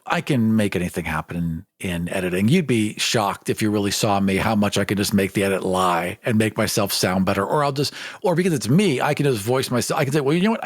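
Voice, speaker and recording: A man's voice: on the low side, no echo, studio quality. A man talking casually as a podcast co-host and editor, candid and self-deprecating.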